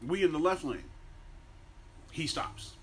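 A man's voice: very animated, measured pace, husky. An American man co-hosting a podcast, telling stories in a casual, slangy way with plenty of swearing. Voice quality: deep-voiced by own claim. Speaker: podcast co-host. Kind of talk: casual profane banter and animated storytelling. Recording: faint background noise.

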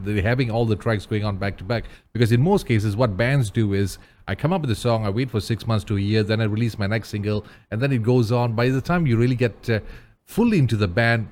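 A man's voice: lowish voice, a little mellow. Dude hosting a chill interview, chatty, hyped, kinda loose with his words. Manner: chatty, enthusiastic, loose phrasing. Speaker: interview host. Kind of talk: casual interview chat.

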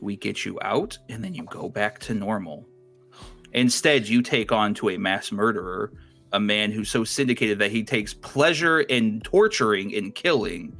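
A man playing a stern, bitter man born into crime, lecturing gravely and reproachfully in a tabletop game. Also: on the low side, normal speed, fairly bright tone, soft background music. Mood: grave scolding.

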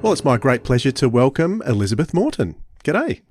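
A man's voice: medium pitch, very animated, fairly quick, darkish tone, faint music behind. A man hosting a casual podcast interview. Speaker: podcast host.

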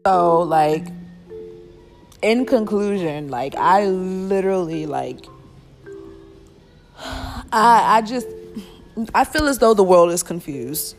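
A woman's voice: lowish voice, lively intonation, slow pace, pauses often, over music. A woman in her twenties hosting a solo podcast, ranting bluntly and emphatically with a lot of swearing. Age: 20s. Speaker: podcast host. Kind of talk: casual solo podcast rant.

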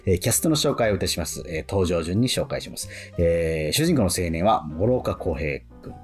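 A male radio host, chatting casually in a relaxed way, with many fillers. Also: low-pitched, fairly quick, faint music behind.

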